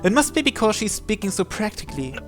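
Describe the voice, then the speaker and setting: A man with a fairly high voice reads a visual novel aloud. He delivers it as dramatic, scripted first-person narration. Faint music runs behind the voice. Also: sing-song, quick pace, fairly bright tone. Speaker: channel host reading visual novel text aloud.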